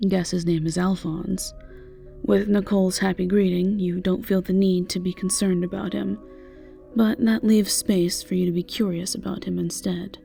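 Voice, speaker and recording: A woman's voice: on the low side, little pitch movement, normal speed, gravelly, mellow, faint music behind. A woman narrating a scripted fantasy audio roleplay as a storyteller.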